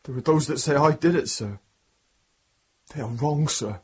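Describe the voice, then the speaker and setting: A defiant, devout prisoner in a radio drama. His voice is husky and pleading, with a slightly dulled sound. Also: on the high side, normal speed, a little mellow, highs cut off.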